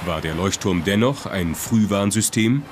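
A man's voice: fairly low pitch, lively intonation, normal speed, noisy background. A man reading scripted documentary narration. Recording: music playing behind the narration. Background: music.

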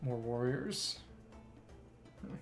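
A man's voice: mid-range voice, expressive, extremely slow, soft background music. A man streaming a video game, giving casual live commentary in short fragments, muttered to himself.

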